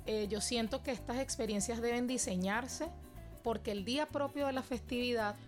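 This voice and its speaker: Venezuelan lady talking earnestly in an interview, soft music faint behind her. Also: a little high, moderate pace. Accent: Venezuelan Spanish. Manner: earnest, reflective, long flowing sentences. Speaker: dance teacher and cultural guest. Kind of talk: interview answers.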